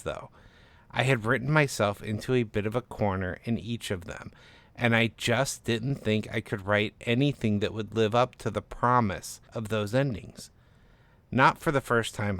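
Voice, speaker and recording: A man's voice: on the low side, fairly bright tone, no room sound. A man reading scripted first-person narration as the author and narrator of a short story.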